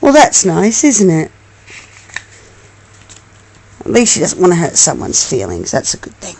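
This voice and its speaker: A woman reading a storybook aloud as a mother to her young child, expressive and affectionate, with coaxing asides. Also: on the low side, fairly quick, gravelly, a little hiss or hum.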